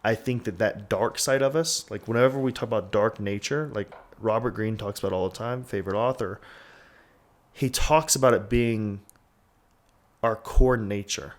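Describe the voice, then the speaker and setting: A man's voice: on the low side, normal speed. Casual, swearing man in his twenties. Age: 20s. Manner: rambling, frequent "like", swears freely. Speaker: podcast host. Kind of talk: candid casual podcast talk.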